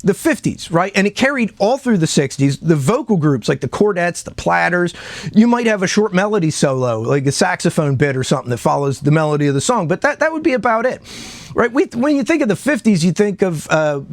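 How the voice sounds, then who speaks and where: A man with a slightly high voice talks casually and with opinion, like a music commentator chatting to a listener. He circles back to stress his points and often checks that you follow. The sound is close and dry. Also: big pitch swings, brisk, no echo. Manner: conversational, repeats himself for emphasis, checks in with listener. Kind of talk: casual opinionated talk about music history.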